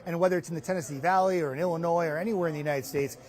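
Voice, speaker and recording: A man's voice: fairly high pitch, rapid-fire, darkish tone. A middle-aged man working as a TV meteorologist, explaining conversationally and emphatically in a live interview on a lapel mic. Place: inside a hardware store, live remote.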